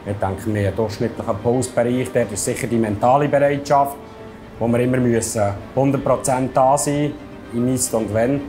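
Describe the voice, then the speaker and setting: Mann mit schweizerdeutschem akzent, mittlere stimmlage, spricht locker mit ein paar ähs, musik im hintergrund. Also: male voice, mid-range voice, fairly even intonation, brisk, mellow, soft background music. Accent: Swiss German. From Switzerland. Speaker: ice hockey referee. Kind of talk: sponsored video voice-over and interview.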